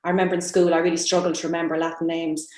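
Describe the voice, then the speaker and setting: An Irish woman, a novelist on a panel, talking reflectively about her writing in a halting, thoughtful way. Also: on the low side, level tone, a little mellow. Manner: halting, thoughtful, searching for words. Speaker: novelist guest on a literary panel. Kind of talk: reflective interview answer about own writing. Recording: roomy, echoing sound.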